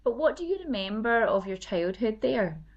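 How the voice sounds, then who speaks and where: A woman with a Glaswegian accent hosting a podcast, asking questions in a chatty, curious way with soft fillers. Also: fairly high pitch, big pitch swings, normal speed, lo-fi sound. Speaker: podcast host. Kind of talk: casual interview chat.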